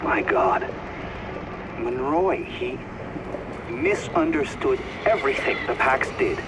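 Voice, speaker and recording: An actor playing an earnest, compassionate companion with measured speech in a video game cutscene. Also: male voice, mid-range voice, wildly swooping pitch, vocal fry, noisy background, heavily acted. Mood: dismayed. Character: earnest, compassionate male companion, troubled and morally indignant.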